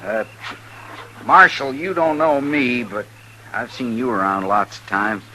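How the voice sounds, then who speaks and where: An easygoing, rustic frontier horse trader in a 1950s radio western. His mid-pitched voice is very bright and cutting, with folksy phrasing and faint noise from the old recording. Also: a little slow, rough recording.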